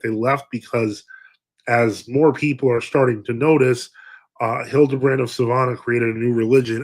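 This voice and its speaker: Casting: male priest host on a live stream, mid-pitched, halting, reading viewer questions and musing over answers. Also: a bit bright. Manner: hesitant, many fillers and restarts.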